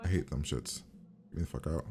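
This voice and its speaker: A man's voice: a bass voice, brisk, mellow, a little hiss or hum. A man giving casual, profane reaction commentary in a blunt, slangy way. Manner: blunt, slangy, swears constantly. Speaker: host reacting to a TV episode.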